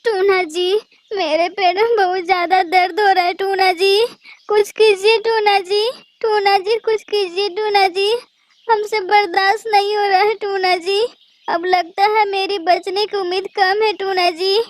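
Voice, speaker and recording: A woman voicing a gentle, suffering mother bird in a Hindi cartoon, speaking plaintively. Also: extremely high pitch, steady tone, a little slow, dry recording. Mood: pained, pleading. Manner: plaintive, repetitive.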